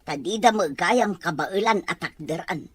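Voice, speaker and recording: Woman playing a husky old Ilocano grandmother, expressive. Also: fairly low pitch, moderate pace, dry recording. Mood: scornful, worried. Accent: Filipino, Ilocano. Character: elderly grandmother, tender, sharp-tongued about her irresponsible son. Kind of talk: audio drama acting.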